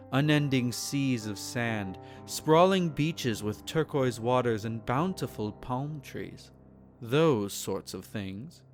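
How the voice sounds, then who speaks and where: Man playing a slow, possessive vampire gentleman. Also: mid-range voice. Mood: playful, teasing. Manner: formal, silky, teasing endearments. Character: possessive, teasing, courtly male vampire, centenarian yet youthful. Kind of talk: intimate roleplay audio, scripted character acting.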